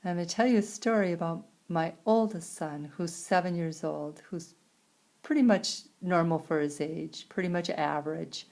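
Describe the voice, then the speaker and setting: A woman with an American accent and a creaky voice, interpreting a signed personal story aloud in halting phrases with pauses. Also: fairly low pitch, darkish tone. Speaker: sign language interpreter voicing a signer.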